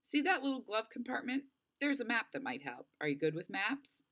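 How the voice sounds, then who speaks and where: A woman's voice: a little high, sing-song, moderate pace, fairly bright tone, voice pushed up. A woman voicing a practical, level-headed young camper who guides a stranded friend, in a storybook read-aloud. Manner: matter-of-fact, reassuring. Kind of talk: storybook character voice.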